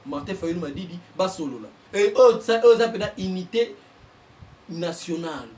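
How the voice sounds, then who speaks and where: A Congolese man, a journalist and political analyst, giving impassioned commentary and getting louder as he presses his points. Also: sits high, expressive, moderate pace, far from the mic. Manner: heated, mixes French and Lingala, bursts louder on points.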